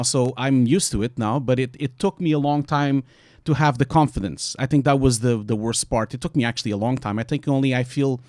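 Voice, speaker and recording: A man in his forties from Portugal, with a Portuguese accent, hosting a casual panel discussion in an earnest, emphatic way, talking in quick bursts with many fillers. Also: medium pitch, a little mellow, no echo, clean studio sound.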